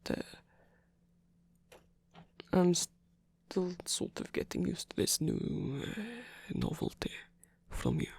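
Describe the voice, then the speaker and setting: A performer plays a tender, flirtatious man, a theatrical rock frontman in an ASMR romance. His voice is very breathy and often drops to a whisper. He talks slowly and hesitantly, bashful and easily flustered. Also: mid-range voice, huge pitch swings, darkish tone, dry recording, exaggerated voice. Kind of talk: intimate ASMR romance roleplay.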